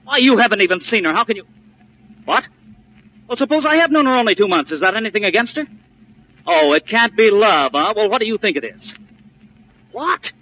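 A man's voice: high voice, animated, brisk, pauses often. An actor playing a headstrong, carefree rich young man in love in a 1940s radio drama. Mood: indignant. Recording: dull, faintly noisy old radio recording.

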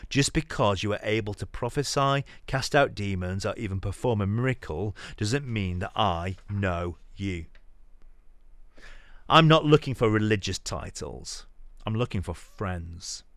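A man with a fairly deep voice delivers a dramatic sermon reading. He is earnest and deliberate, pausing to stress key words. The sound is close and dry, with very little room in it. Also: a bit bright, no room sound. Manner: earnest, deliberate, with pauses for emphasis. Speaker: podcast host reading scripture aloud.

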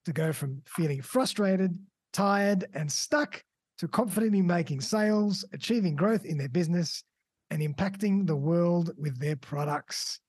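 A woman hosting a podcast, upbeat and full of quick encouraging remarks. Her voice is lowish and a little husky. The sound is dry and close. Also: expressive, darkish tone, no room sound. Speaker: podcast host. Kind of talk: casual podcast interview.

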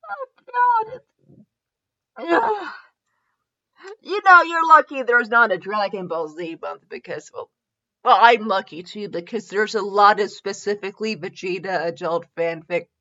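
A woman hosting a podcast with casual, humorous review chat, rambling and halting as she goes, often giggly. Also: mid-range voice, sharp tone, dull audio. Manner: giggly, frequently breaking into laughter; rambling, halting self-interrupted delivery. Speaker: podcast host.

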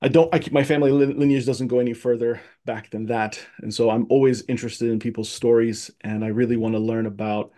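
Guest, male, from Egypt; earnest and reflective, halting with fillers, mid pitch, video call sound. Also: steady tone, dark tone. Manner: earnest, thoughtful, many restarts and fillers. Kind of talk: casual conversation, personal history.

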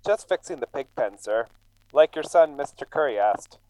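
A man's voice: medium pitch, expressive, moderate pace, dark tone, no echo, natural voice, low-quality recording. An actor playing an earnest, defensive immigrant painter down on his luck in a radio drama. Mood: dry, evasive. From England. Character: John Terse, struggling English immigrant painter, earnest and defensive.

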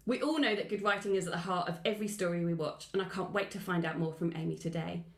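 A woman's voice: on the low side, quick pace, reverberant. A woman hosting an interview podcast. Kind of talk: scripted podcast introduction, then conversational interview.

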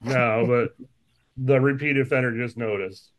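A man's voice: mid-range voice, steady tone, a little slow. A man co-hosting a casual political chat show, speaking matter-of-factly in firm statements. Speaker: podcast co-host.

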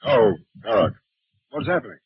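An actor playing a ruthless, curt alien commander under strain in a 1950s radio drama. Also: male voice, medium pitch, big pitch swings, normal speed, breathy edge. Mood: harsh, weary. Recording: muffled 1950s radio recording.

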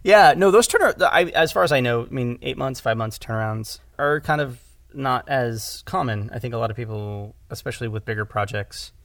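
A man's voice: medium pitch, lively intonation, brisk, creaky, fairly bright tone, no echo. Casual, rambling man in a dry recording. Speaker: audio drama director and showrunner. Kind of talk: casual podcast conversation.

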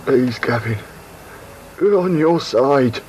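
A performer voicing desperate former henchmen, pleading earnestly in a lowered voice. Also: expressive, slow pace, darkish tone, muffled recording, a little hiss or hum. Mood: begging. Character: pleading freed henchmen, once controlled.

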